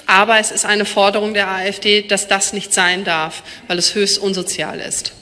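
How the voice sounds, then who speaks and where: Woman politician answering the crowd, lots of ums, bright forceful voice, echoey hall. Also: mid-range voice, flat delivery, moderate pace. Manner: hesitant with many fillers. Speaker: politician answering audience questions. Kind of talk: public Q&A answer. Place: large hall, on stage. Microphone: handheld mic.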